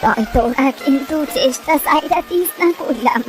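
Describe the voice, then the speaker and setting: A woman voicing a poor, caring old village mother with an East Bengali accent, gently fretting and advising. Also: high voice, normal speed, faint music behind, close to an everyday voice. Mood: resigned, caring.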